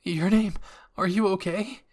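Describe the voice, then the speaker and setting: Man acting an excitable, high-voiced young soldier. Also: measured pace. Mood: worried. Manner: eager, urgent.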